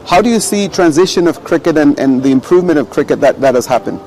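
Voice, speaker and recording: A man from Pakistan hosts a relaxed cricket talk outdoors in a city. He speaks in a somewhat raised voice, stopping and restarting often. Faint traffic hums behind him. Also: on the high side, fast talker, poor audio. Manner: hesitant, many fillers and restarts. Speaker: sports show host. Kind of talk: casual interview chat.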